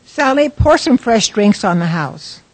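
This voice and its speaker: A woman playing a caring, practical bar worker in a radio drama, with a husky, plain-spoken voice. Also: medium pitch, very animated, unhurried, dry recording. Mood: helpful.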